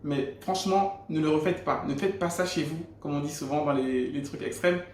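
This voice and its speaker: A man's voice: on the high side, normal speed, darkish tone, a little hiss or hum. Gabonese man telling a testimony, roomy sound. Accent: Gabonese French. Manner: earnest storytelling with frequent restarts. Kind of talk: personal faith testimony. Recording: roomy echo.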